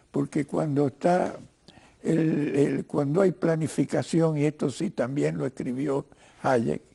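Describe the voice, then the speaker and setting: Older cuban guy with argentine accent, real slow and creaky, halting professor type talking politics in an interview. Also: on the high side, warm tone. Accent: Cuban-Argentine Spanish. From Cuba. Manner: halting, professorial, repeats words while searching for the next. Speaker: interview guest, writer and lawyer. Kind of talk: political discussion in a broadcast interview.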